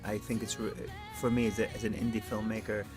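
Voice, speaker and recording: An earnest man speaking as an indie film director, thinking aloud with a halting delivery. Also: fairly low pitch, level tone. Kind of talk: interview answers and addressing a group. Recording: background guitar music. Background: music.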